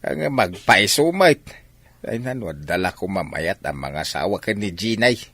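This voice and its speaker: A man playing a scheming, argumentative village husband with an Ilocano accent in a radio drama, animated and protesting. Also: low voice, moderate pace, no echo, exaggerated voice. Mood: insistent.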